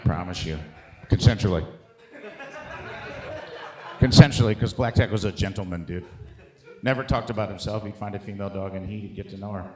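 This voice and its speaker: A man performing a profane stand-up set, telling animated stories with acted-out bits. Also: fairly low pitch, brisk, long pauses. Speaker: stand-up comedian, special guest. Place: live show on a stage before an audience. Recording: roomy live stage sound.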